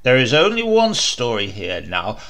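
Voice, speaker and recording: A man's voice: mid-range voice, huge pitch swings, normal speed, fairly bright tone, pitched below the performer's own voice. A man voicing a stern, impatient young king who gives clipped commands.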